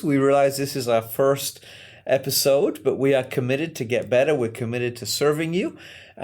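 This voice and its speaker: A man co-hosting a local community podcast, talking in an earnest, friendly way with frequent fillers. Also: mid-range voice. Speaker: podcast co-host.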